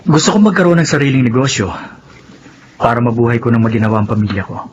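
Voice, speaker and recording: A man's voice: medium pitch, lively intonation, fast, muffled recording, slight background noise, close to an everyday voice. An actor playing a loyal, humble male employee who speaks deferentially and hesitantly, often starting with "eh". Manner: deferential and hesitant, full of "eh" and polite "po". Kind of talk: radio drama acting.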